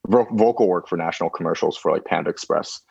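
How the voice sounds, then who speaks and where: A man who grew up in China, chatting casually as a guest, talking in a relaxed, rambling way with lots of fillers. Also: deep voice, fast talker, creaky, husky, no room sound, lo-fi sound. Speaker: guest musician. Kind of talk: casual conversation.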